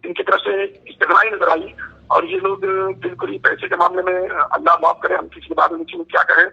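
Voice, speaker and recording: High-pitched, gravelly man on the phone. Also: flat delivery, a little fast. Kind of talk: earnest explanatory phone conversation. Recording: dull lo-fi phone call.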